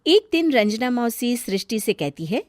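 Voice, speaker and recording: Lively woman storybook narrator. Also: fairly high pitch, normal speed, no echo, clean studio sound.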